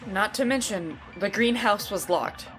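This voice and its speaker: A woman playing a composed, logical young investigator in an audio drama, explaining in a measured, methodical way. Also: medium pitch, fairly bright tone, faint music behind, natural voice.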